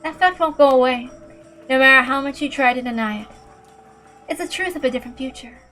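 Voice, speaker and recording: A woman voicing a calm, calculating female killer, mocking and matter-of-fact, in a visual novel reading. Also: high voice, brisk, soft background music. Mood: cold, reasoning.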